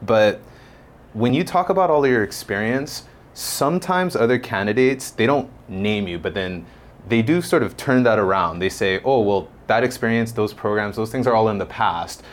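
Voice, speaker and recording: A man interviewing a candidate, asking questions in a relaxed, casual way with everyday slang. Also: moderate pace, a little hiss or hum. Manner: relaxed, casual, uses slang like low-key.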